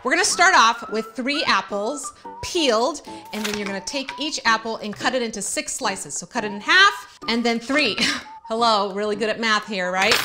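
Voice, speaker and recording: A woman from Croatia, around her forties, hosting a comedic cooking show in a chatty, self-mocking, animated way. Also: a little high. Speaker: host of a cooking and parenting show. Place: home-style kitchen, indoors. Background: music.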